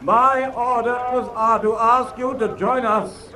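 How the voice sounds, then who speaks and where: An actor playing an insistent naval captain calling out to another ship in a war radio drama. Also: male voice, very high voice, steady tone, bright, forceful tone, full character voice. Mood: insistent, commanding. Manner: calling out slowly across water. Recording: faint background noise.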